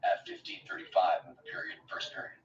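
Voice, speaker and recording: A man with a slightly raspy voice giving relaxed hockey color commentary from his couch, hesitating often. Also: fast. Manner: hesitant, many uh fillers, laid-back. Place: home, on a couch. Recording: distant lo-fi roomy mic with faint hiss.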